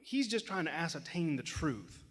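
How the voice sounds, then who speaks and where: A mild, conciliatory doctor, played by a man, earnest and protective. He speaks softly and carefully in old radio-drama style, his voice ringing in a large theater. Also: on the high side, very animated, moderate pace. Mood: soothing. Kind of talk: 1940s radio drama acting. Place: large theater stage, live performance. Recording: live theater stage, long hall reverb.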